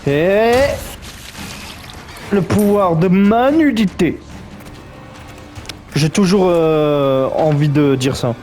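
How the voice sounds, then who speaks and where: A man's voice: on the high side, very slow, pauses often, darkish tone, faint music behind. A man commenting on a game as he plays, in a chatty, joking, reactive way. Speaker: gaming streamer. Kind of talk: let's play commentary.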